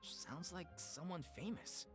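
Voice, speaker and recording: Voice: medium pitch, takes it slowly, breathy edge, soft background music. An actor voicing an earnest, modest leader of an amateur theater troupe in a video game, speaking politely and a little hesitantly. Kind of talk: video game character voice acting.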